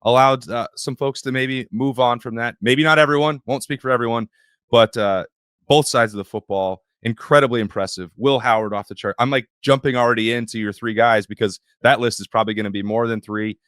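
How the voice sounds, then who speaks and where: Male podcast host, slightly hoarse, wry and opinionated, dry close sound. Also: medium pitch, steady tone, brisk, a bit bright, no echo. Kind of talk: sports podcast talk.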